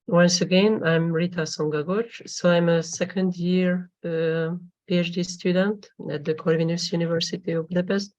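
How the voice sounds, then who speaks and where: Halting woman presenting research. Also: low voice, a little mellow. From Hungary. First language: Hungarian. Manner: halting, formal, with frequent pauses. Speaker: conference presenter, PhD student. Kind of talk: academic presentation. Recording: dull lo-fi recording.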